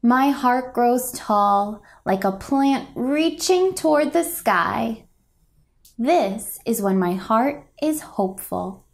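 A young woman reading a children's picture book aloud in a gentle, expressive, deliberate storytelling manner. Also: a little high, gravelly. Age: young adult. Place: indoors, a home room.

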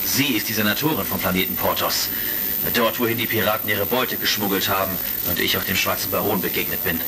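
A calm space commander reasons in a deliberate, creaky voice. It is a retro sci-fi radio play, heard a little distant on a crackling old recording. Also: male voice, deep voice. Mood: determined. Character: heroic space commander, calm, analytical investigator. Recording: crackly vintage vinyl-style recording, distant.

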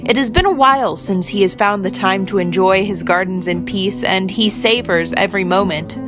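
A woman giving a measured, suspenseful, scripted narration of a dramatic story. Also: medium pitch. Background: plucked guitar music.

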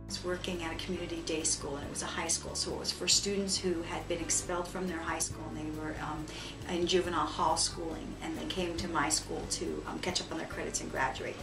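Roomy, low-voiced middle-aged American woman. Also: steady tone, a little fast, creaky. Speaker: elementary school principal. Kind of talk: interview testimonial. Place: small office, indoors. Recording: roomy, distant mic, music behind.

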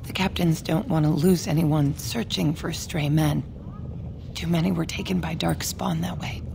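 A woman voicing a grieving middle-aged dwarf widow in a video game, speaking in a mournful, solemn way with a low, gravelly voice. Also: fairly even intonation, moderate pace, noisy background. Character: grieving older dwarf widow praying for lost son. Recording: game audio with music underneath.

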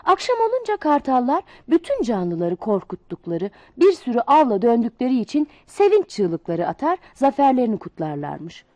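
A woman reading a children's fable aloud in an expressive, sing-song storybook manner. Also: a little high, moderate pace, few pauses, no echo.

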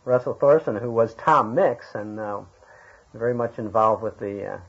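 American male interviewer, husky mid-range voice, conversational, lo-fi recording. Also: fairly low pitch, normal speed. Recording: dull lo-fi interview tape.

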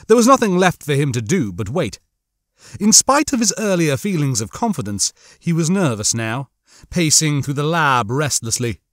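A man's voice: a little high, sing-song, moderate pace, a bit bright, no echo, clean studio sound. A man reading a story aloud as an audiobook narrator, with dramatic scripted narration.

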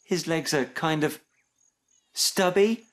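A man's voice: mid-range voice, very animated, normal speed, voice pushed up. A man voicing a young boy crocodile in a children's story, wistful, hesitant and childlike. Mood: unsure, wistful. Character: young boy crocodile, wistful and unsure about his dad.

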